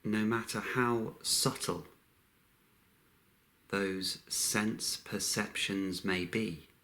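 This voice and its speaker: A man leading a guided meditation in a calm, unhurried way, with long pauses between short phrases. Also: lowish voice, low-quality recording.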